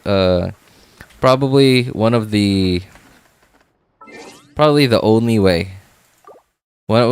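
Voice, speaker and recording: A man's voice: fairly low pitch, clear, resonant tone. A man chatting casually on a game livestream, thinking aloud, repeating himself and often checking in with "right?".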